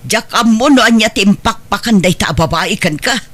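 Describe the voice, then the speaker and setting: Sharp-voiced, scolding older mother played by a woman. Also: mid-range voice, normal speed, lightly acted. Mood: disapproving. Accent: Ilocano. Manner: scolding, plaintive. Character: disapproving, aggrieved older mother and grandmother in a conservative family. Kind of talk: Ilocano audio drama acting.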